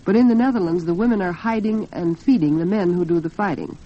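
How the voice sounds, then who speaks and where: Lo-fi 1940s American radio hostess. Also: female voice, on the low side, quick pace. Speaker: radio program host. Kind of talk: 1940s radio women's talk program, commentary and sponsor read. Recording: dull lo-fi 1940s radio recording.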